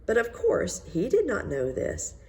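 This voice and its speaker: A woman reading a children's fairy tale aloud in an expressive storytelling style. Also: on the high side, measured pace, breathy edge. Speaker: storybook reader.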